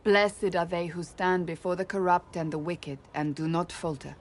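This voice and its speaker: An actress plays a devout woman warrior and advisor in a fantasy game. Her voice sits on the low side, and she speaks with measured, formal composure and solemn resolve. There is faint noise behind her. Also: steady tone, close to an everyday voice. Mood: solemn, resolute. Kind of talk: video game cutscene acting.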